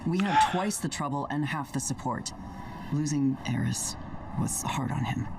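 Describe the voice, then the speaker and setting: An actor playing a grave, resolute commander giving a measured briefing in a game cutscene. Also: male voice, on the high side, expressive, background noise. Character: steady military commander man, grave and resolute. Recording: distant, with clear game ambience.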